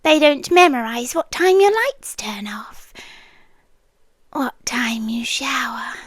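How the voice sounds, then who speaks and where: A British woman playing a possessive, doting and controlling yandere landlady in an intimate ASMR roleplay, breathy and coaxing, often dropping to a whisper. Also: fairly high pitch, slow, no echo, studio quality. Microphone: close ASMR microphone, dry.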